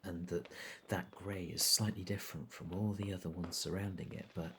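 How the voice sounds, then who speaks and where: Man acting a low-voiced, melancholy man, haltingly reflective. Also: fairly even intonation, a bit bright, slight background noise. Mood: wistful. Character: melancholy colourblind man losing his regained colour vision. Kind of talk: intimate dramatic monologue.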